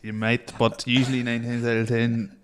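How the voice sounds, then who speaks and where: A man's voice: medium pitch, level tone, moderate pace. A man co-hosting a casual banter podcast, blunt and sweary with dry teasing. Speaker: podcast co-host.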